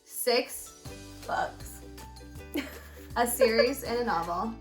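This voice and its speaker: A woman's voice: fairly high pitch, slow pace, roomy sound, soft background music. A young American woman co-hosting a book show in casual, enthusiastic chat with a playful, joking, slangy manner.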